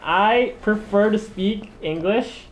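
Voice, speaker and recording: A young man, a university student who grew up in the Philippines, co-hosting a podcast and talking in a chatty, lively way with laughs. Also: high-pitched, takes it slowly, reverberant, a little hiss or hum. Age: young adult. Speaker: podcast co-host. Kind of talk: casual chat.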